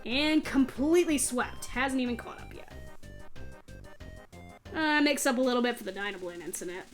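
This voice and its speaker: A woman's voice: on the high side, moderate pace. A woman hosting a let's play, giving casual gameplay commentary in a chatty, self-deprecating way. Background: video game music.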